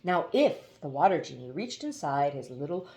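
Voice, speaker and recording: A woman reading a children's novel aloud as expressive scripted narration. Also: low voice, moderate pace, reverberant. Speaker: audiobook reader.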